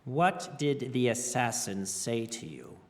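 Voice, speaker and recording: Smug villainous nobleman, formal and threatening, creaky fry, reverberant hall. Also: medium pitch, sing-song, slow pace. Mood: menacing. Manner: haughty, formal, menacing. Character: cruel, smug young nobleman, lordly brother. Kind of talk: audio drama acting.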